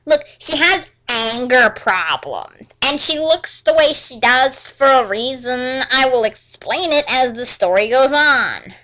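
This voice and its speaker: Defensive teenage author played by a woman, sarcastic, slow exaggerated emphasis, animated pitch, for parody reading. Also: full character voice, rough recording.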